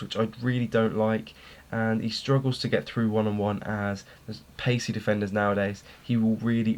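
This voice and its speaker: A young man reviewing a video game player card in an informal way, his voice slightly worn as he is losing it. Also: on the low side. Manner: informal, repeats words for emphasis. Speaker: gaming video host.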